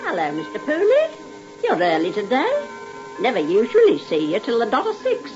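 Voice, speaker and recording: An actress in an old-time radio drama playing a chatty, familiar bartender with a teasing manner. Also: female voice, a little high, huge pitch swings, normal speed. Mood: chatty, sympathetic. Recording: muffled old radio recording, music behind.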